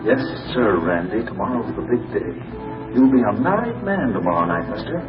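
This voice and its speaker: A low, nagging inner voice, loud music. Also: male voice, sing-song, normal speed. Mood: uneasy self-persuasion. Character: man's nagging inner voice, brooding and insistent. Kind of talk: 1940s radio acting. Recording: distant dull radio sound, loud music behind.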